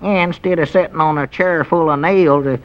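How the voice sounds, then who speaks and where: A man plays a puzzled, literal-minded country sidekick in a 1940s-style radio comedy. His voice sits fairly high for a man, with a hesitant rural Southern American twang and folksy wording. It comes through as dull, lo-fi vintage broadcast sound. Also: fairly even intonation, fairly quick, smooth, close to an everyday voice. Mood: practical advising.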